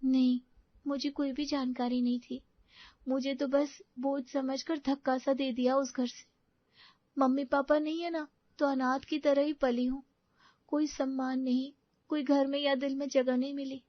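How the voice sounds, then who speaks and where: A woman's voice: high-pitched, level tone, moderate pace, no echo, lo-fi sound, close to an everyday voice. A woman playing a timid, grateful young orphan bride in an audio story, hesitant and deferential. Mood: tearful gratitude.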